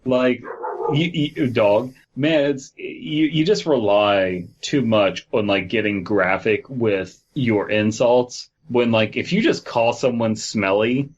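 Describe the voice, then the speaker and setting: A man co-hosting a comedy podcast, riffing in a deadpan, casual way and reading stories aloud. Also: medium pitch, slow pace, muffled recording. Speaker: podcast host.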